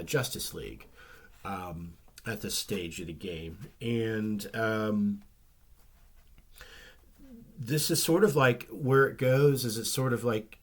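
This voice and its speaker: Low-voiced man with a slightly nasal rasp. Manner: relaxed and rambling, many ums and restarts. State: recovering from flu, slightly rough nasal voice. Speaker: comic book vlogger. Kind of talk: casual chat.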